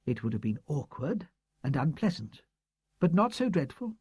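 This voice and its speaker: A man's voice: on the high side, sing-song, moderate pace, a little mellow, breathy edge, dry recording, clean studio sound, voice pushed up. A man voicing a proud, bitter old schoolteacher woman. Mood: indignant.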